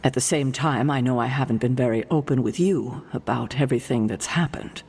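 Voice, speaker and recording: An actor playing an earnest superhero teammate in a video game, conciliatory with a dry humour. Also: male voice, mid-range voice, brisk, vocal fry, darkish tone, husky. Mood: earnest, wry. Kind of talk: video game dialogue acting.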